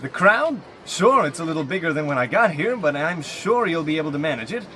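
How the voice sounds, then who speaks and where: A man's voice: on the high side, animated, a little fast, sharp tone. A man playing a bossy but squeamish police lieutenant in a comedy radio play, fussy and easily flustered. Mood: nervous.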